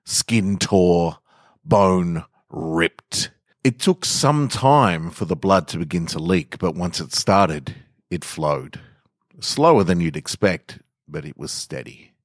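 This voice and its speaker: A man narrating short fiction in a dramatic, measured and deliberate way with weighty pauses. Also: deep voice, dry recording, studio quality. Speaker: storyteller reading short fiction on a podcast.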